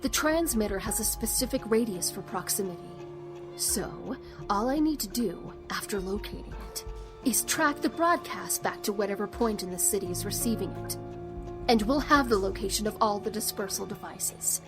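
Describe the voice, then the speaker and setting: Woman acting as wry smart younger sister in an audio drama, bit exasperated, soft music behind. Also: mid-range voice, lively intonation, normal speed, lightly acted. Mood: pleased, explaining. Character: sharp, wry younger sister, tech-savvy ousted executive.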